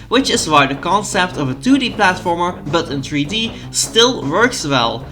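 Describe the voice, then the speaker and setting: Bright, lively young Dutch-native man. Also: high voice. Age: 20s. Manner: eager, fan-like. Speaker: YouTube host. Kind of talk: retro game commentary.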